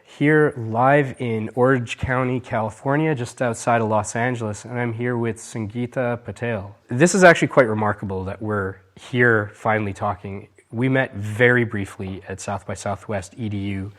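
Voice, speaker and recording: A man's voice: mid-range voice, moderate pace, few pauses. A man hosting a podcast interview in a casual, conversational way, enthusiastic and openly admiring.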